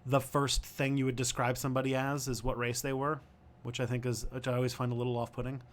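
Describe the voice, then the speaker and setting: A man's voice: medium pitch, level tone, fast, slight background noise. A young man from Canada hosting a livestream, answering viewer questions casually and thinking aloud with lots of ums and restarts.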